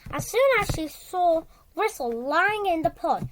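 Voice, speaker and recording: A woman reading a children's story aloud in a halting way, repeating and correcting words as she goes. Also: very high voice, expressive, fairly bright tone, slight background noise, low-quality recording. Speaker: storybook reader.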